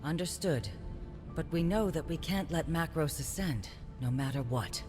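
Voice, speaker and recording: A man's voice: on the high side, animated, normal speed, lightly acted. A man playing a resolute, defiant warrior in a video game, speaking curtly and solemnly. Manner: curt, solemn. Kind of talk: dramatic video game cutscene acting. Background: music.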